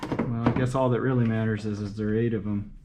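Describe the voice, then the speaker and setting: A man's voice: fairly low pitch, fairly even intonation, fast talker. A man talking casually to himself as he works on a car, thinking aloud as a home mechanic video host, with noise behind him. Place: working at a car, single uncut recording.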